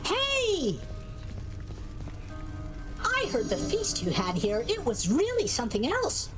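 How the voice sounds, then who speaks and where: Childlike sleepy god guy from a game, super high voice, breezy, swoopy, cheeky. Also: slow, over music, heavily acted. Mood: breezy excitement. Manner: breezy, gossipy, animated. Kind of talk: video game voice acting.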